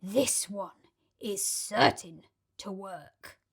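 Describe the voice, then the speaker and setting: A greedy crocodile from a storybook plots in a sly, gloating murmur, as a woman reading aloud puts him on. He talks slowly and lingers over his words. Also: on the high side, expressive, gravelly, full character voice. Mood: scheming. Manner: sly, gloating, sometimes hushed. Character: greedy scheming male crocodile.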